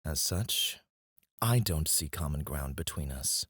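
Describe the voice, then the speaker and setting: A man's voice: a bass voice, expressive, unhurried, fairly bright tone, dry recording, clean studio sound, exaggerated voice. A man playing a cocky, disdainful royal alchemist in a scripted fantasy audio roleplay, speaking in a formal, haughty, theatrical way. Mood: cool disdain. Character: cocky, disdainful male royal alchemist, sharp-witted and proud. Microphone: close, dry studio mic.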